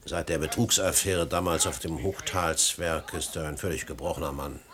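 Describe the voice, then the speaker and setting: An actor in a radio play playing a fussy, gossipy manservant with an officious manner. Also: male voice, a bass voice, fairly even intonation. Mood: gossiping. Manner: brisk, officious. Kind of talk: German radio play acting. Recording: busy crowd murmur behind.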